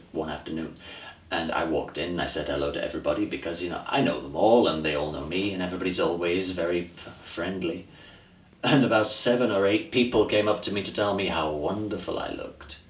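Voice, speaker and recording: A very low-voiced, disillusioned man played by a male actor muses his way through a stage monologue. He is upset and exasperated, halting and then pressing on words for emphasis. The sound is roomy and distant from the microphone. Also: natural voice. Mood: troubled, wry. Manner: halting, emphatic, repeats words, laughs ruefully. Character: upset, disillusioned New York theatre man, musing and exasperated. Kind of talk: dramatic audition monologue, conversational stage acting.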